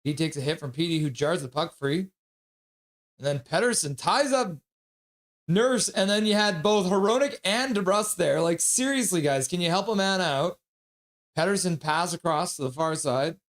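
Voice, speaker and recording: Man, slightly high voice, casual live stream host, hockey play-by-play, reads chat aloud, offhand delivery. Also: expressive. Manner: reads chat messages aloud and chats back; quick, offhand running description of the action. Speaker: livestream host.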